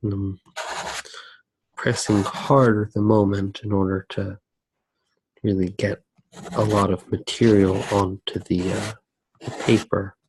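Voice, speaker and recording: A man giving soft-spoken ASMR commentary as he draws, musing aloud in a hesitant way with pauses. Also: lowish voice, flat delivery, husky, rough recording. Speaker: host of an ASMR drawing channel.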